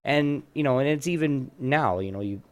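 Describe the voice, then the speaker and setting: A man's voice: medium pitch, lively intonation, moderate pace. A man casually hosting a trivia round on a live show, rambling and often rephrasing himself.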